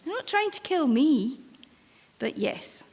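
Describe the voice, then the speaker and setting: A woman's voice: high voice, sing-song, slow pace, a little mellow, full character voice. A woman playing a world-weary, protective ship's crew member in a live staged drama, wry and reassuring as she coaxes. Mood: wry, patient. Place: live on stage in an arts venue. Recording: reverberant hall, live stage performance.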